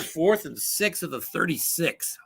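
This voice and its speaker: A man calling solo play-by-play for a tabletop football game, brisk and rising on big plays, losing his voice and coughing now and then. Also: on the high side, expressive, vocal fry, sharp tone, breathy edge.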